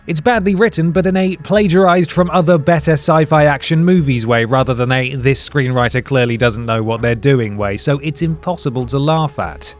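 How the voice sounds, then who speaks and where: A man reads a scripted critique in a dry, sardonic tone. His voice sits in an ordinary middle pitch. Soft music plays faintly beneath him. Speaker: video game critic narrator. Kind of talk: scripted video essay narration. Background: faint background music.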